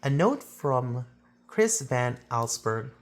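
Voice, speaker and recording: A woman reading a children's picture book aloud as a storyteller, with theatrical phrasing and drawn-out emphasis. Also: a bass voice, sing-song.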